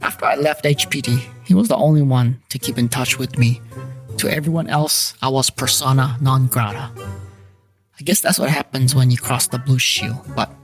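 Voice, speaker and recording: A man's voice: medium pitch, steady tone, moderate pace, lightly acted. A man playing a wisecracking private paranormal investigator, narrating his own story in a wry, colloquial, self-mocking way. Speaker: narrator and lead character. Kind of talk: scripted first-person noir-comedy narration. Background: guitar music.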